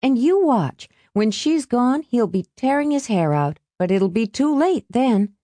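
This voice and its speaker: A woman's voice: fairly high pitch, big pitch swings, a little slow, dark tone, no room sound, voice pushed up. A woman voicing a bitter, strained mother in a storybook reading, with an emphatic, wounded manner. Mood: mournful. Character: bitter, strained small-town mother, brittle and blurting.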